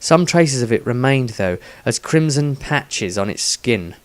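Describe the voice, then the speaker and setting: A man narrates a fantasy adventure as a storyteller reading from a script. His voice sits at a middling pitch, and his delivery is dramatic, suited to spoken-word fiction. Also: expressive, measured pace, clear, resonant tone. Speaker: author reading own story.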